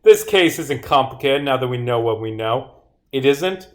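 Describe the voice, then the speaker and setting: A man's voice: medium pitch, lively intonation, normal speed. Man reading his game script on stream. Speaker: streamer and visual novel developer. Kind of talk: livestream of reading own game script aloud with commentary.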